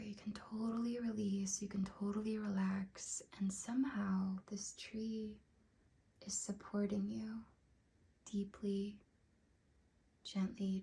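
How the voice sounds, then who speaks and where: A woman leading a guided meditation in a breathy, whispery ASMR voice, speaking gently and soothingly with long unhurried pauses. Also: mid-range voice.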